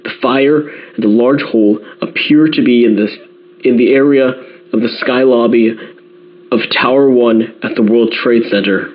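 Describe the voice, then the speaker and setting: A man playing a grave New York radio newsman in an audio drama, delivering breaking news in a measured, careful way. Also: medium pitch, steady tone, lightly acted. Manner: measured, careful, repeating key details. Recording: slightly dull radio broadcast sound.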